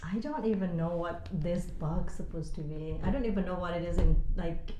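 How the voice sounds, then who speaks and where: Young woman from indonesia, english not her first language, lowish voice, laid back podcast chat. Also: normal speed, a little hiss or hum. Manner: relaxed, laughs easily, short reactions. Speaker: podcast co-host. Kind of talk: casual chat.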